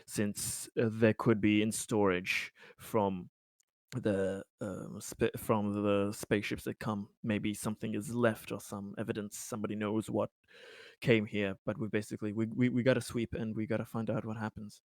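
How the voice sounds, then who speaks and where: A man with a fairly low voice is playing a tabletop roleplaying game. He speaks haltingly, feeling for his words as he describes what his character does. The sound is dry, with hardly any room to it. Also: little pitch movement, a little mellow, no room sound.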